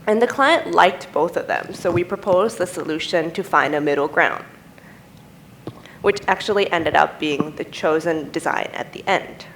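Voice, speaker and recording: A young woman whose first language is Thai presents at a design symposium, speaking in a low, steady, explanatory way. She talks into a podium microphone on an indoor stage. Faint room ambience sits behind her voice. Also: slight background noise, rough recording. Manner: measured, explanatory, steady delivery. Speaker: type designer giving a conference talk.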